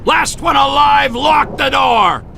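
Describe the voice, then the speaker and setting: A loud, cocky American soldier from a cartoonish video game. He shouts in a high, gravelly voice with bombastic drill-sergeant swagger. Some noise from game effects sits behind him. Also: takes it slowly, exaggerated voice. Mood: commanding alarm.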